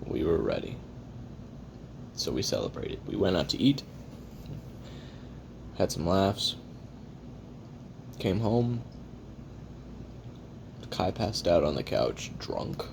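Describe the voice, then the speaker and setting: Man playing a deep gravelly jaded assassin. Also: wildly swooping pitch, takes it slowly, long pauses, a little mellow, exaggerated voice. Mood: subdued, reflective. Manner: profane, wry, rambling, self-deprecating. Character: jaded hard-drinking male assassin recording his memoirs. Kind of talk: confessional audio roleplay monologue. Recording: audible hiss and low rumble.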